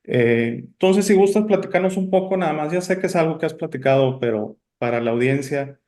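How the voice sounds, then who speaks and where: A man's voice: fairly high pitch, brisk, darkish tone. A man born in Italy hosting a casual interview, talking in a chatty, rambling way with many fillers and restarts. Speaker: interview host.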